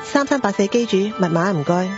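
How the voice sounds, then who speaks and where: A woman's voice: medium pitch, expressive, fairly quick, lightly acted. A woman with a Hong Kong accent playing a polite night-shift pager operator and storyteller in a radio drama. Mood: polite, businesslike. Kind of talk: scripted radio drama narration. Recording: dull lo-fi audio under music.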